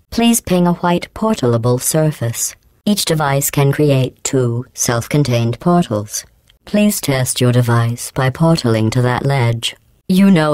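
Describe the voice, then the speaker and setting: Cold sarcastic female game AI, low and smooth, robot filtered, mock-polite. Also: darkish tone, dry recording. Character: cold, passive-aggressive female AI supervisor. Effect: robotic voice processing. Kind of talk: video game voice acting.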